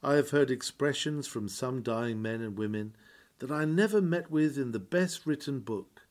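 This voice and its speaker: A man reads a sermon aloud with solemn, earnest delivery. His voice sits a little high yet has a soft, mellow tone. He declaims in an oratorical style, pausing for dramatic weight. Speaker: sermon reader.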